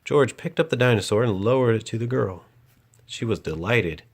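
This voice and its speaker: A man's voice: on the low side, expressive, moderate pace, slightly rough. A man reading a children's picture book aloud as a storyteller and host.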